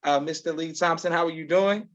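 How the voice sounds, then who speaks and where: A man hosting a live online interview in a polite, halting manner, repeating words. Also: a little high, steady tone. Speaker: podcast host, interviewer. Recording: dull lo-fi online call sound.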